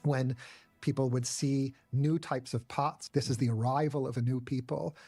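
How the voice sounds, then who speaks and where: A man speaking as an interviewed scientist, explaining in measured academic phrasing. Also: mid-range voice, fairly even intonation, mellow. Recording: played-back video, faint music behind.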